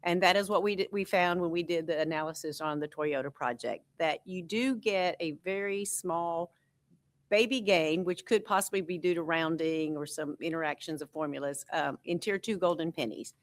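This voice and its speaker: A woman with a somewhat low voice walks a school board through tax figures. She explains patiently and conversationally, with frequent ums and asides. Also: moderate pace. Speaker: school finance consultant. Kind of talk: board meeting presentation. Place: school board meeting room.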